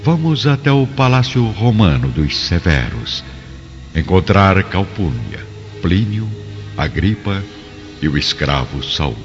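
A Brazilian man narrates a radio drama in a very deep, highly expressive voice. The recording is slightly muffled, with music underneath. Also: slow pace.

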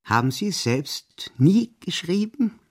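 A man's voice: on the high side, big pitch swings, slow, heavily acted. A male actor playing an aloof, guarded senior Austrian diplomat in a German literary radio drama, speaking formally and probingly. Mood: sceptical, probing.

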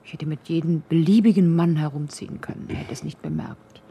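Quiet nervous young woman, radio play, soft dark voice, bit creaky, faint noise. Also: low voice, fairly quick, heavily acted. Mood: pensive, uneasy. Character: pensive, nervous young woman confiding in her boyfriend. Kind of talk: German radio drama acting.

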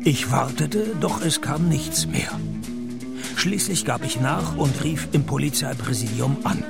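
An actor playing a laconic, sardonic private detective in a radio crime play, with a Swiss accent and a dry, gravelly delivery. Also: male voice, medium pitch, measured pace, loud music behind the voice. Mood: dry narrating. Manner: deadpan, dry, terse. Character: laconic, cash-strapped male private detective, dryly sardonic. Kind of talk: radio crime play, first-person narration and dialogue.

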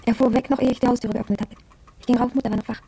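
A woman's voice: on the high side, flat delivery, rapid-fire, noisy background, natural voice. An actress playing an impressionable, wondering young shop girl who confides her experiences in a reflective radio drama monologue. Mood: sleepless, excited.

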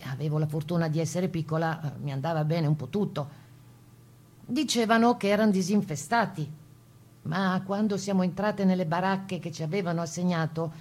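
A woman playing a camp survivor who gives her grave testimony in a radio play, plainspoken and halting, in a conversational way. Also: lowish voice, a little mellow, lightly acted. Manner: halting, conversational, with small fillers and tag questions. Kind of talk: dramatized first-person testimony in a radio play.

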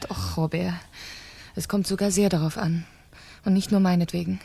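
Casting: calculating wife in a seventies radio thriller, lowish voice, dry close sound, insistent and cold. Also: female voice, fairly even intonation, normal speed, vocal fry, dark tone, slightly rough, no echo. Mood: impatient. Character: cold, impatient wife plotting her husband's murder. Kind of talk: 1970s German crime radio play acting.

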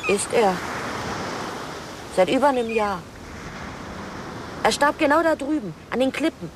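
A woman's voice: on the high side, expressive, a little slow. Sullen teenage girl over noisy surf. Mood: sullen, gossipy. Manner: blunt, gossipy, self-dramatizing. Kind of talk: German radio crime drama acting. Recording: noisy track with sea-like ambience.

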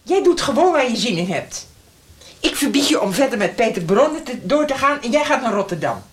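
A woman's voice: a little high, big pitch swings, brisk. A woman rehearsing radio drama lines and making off-script remarks, on a noisy studio outtake.

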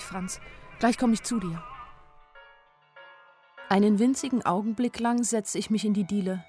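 A woman's voice: medium pitch, close to an everyday voice. An actress playing a weary, lovesick young woman who narrates a radio play in an introspective, lyrical way. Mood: dreamy longing. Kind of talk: literary first-person radio play narration. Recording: studio radio play with faint music.

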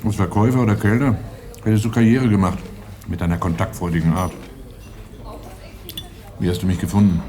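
A man's voice: low voice, steady tone, brisk, pauses often, warm tone, close to an everyday voice. An actor playing a guarded, serious man in a radio play, with a terse, dry and restrained way of talking. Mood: taken aback, wry. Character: guarded, serious man, weary brother of a convict. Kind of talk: 1980s German radio play acting and narration.

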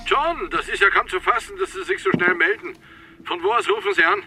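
An actor in a radio drama playing a brisk, jovial, self-important American army boss on a phone call. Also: male voice, very high voice, sing-song, creaky, bright, forceful tone, soft background music, full character voice. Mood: delighted surprise.

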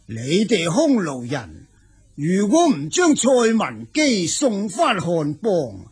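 A proud, indignant warrior king in a radio drama. His voice is badly roughened and husky. He speaks slowly and weightily, halting between emphatic phrases. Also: high-pitched, exaggerated voice. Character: proud, indignant Xiongnu king, husband and father.

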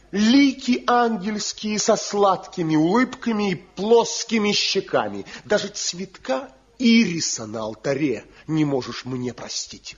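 An actor playing a bitter, envious wandering craftsman in a theatrical radio drama, declaiming with impassioned, weighty pauses. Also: high-pitched, husky, heavily acted. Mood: scornful. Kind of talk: theatrical Soviet radio play acting. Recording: muffled 1970 radio drama recording.

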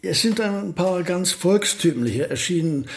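An absent-minded old professor in a radio play, with a fairly high male voice. He is gentle and unfailingly polite, feeling his way hesitantly through ponderous thoughts. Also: expressive, unhurried, gravelly, full character voice. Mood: musing, earnest.

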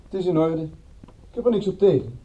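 A courteous Frenchman in a radio drama. His voice is very mellow and a little high for a man, and his manner is obliging, apologetic and rather embarrassed. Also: animated, fairly quick, low-quality recording. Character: polite, embarrassed, obliging Frenchman, owner of a flat.

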